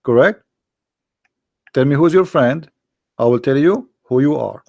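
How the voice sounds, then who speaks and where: Man, hoarse, gravelly, native Arabic speaker, argumentative, repeats words, call-in debate. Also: mid-range voice, a little slow, darkish tone, no echo, studio quality. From Middle East. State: hoarse, losing his voice. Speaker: debate host. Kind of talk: live online debate.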